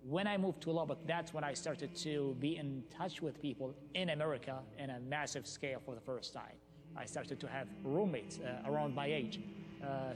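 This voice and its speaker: A man in his forties from Iraq, calmly giving a personal testimony in a recorded video, pausing briefly here and there. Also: a little high. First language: Arabic. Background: soft music.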